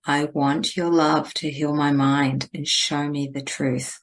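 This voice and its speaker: A woman leading a guided spiritual meditation in a gentle, deliberate, instructing manner. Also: sits low, little pitch movement.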